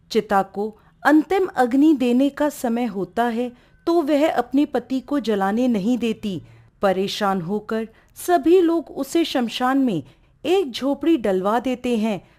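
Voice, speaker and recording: Unhurried, expressive woman storyteller. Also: on the high side. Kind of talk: devotional folk-tale narration.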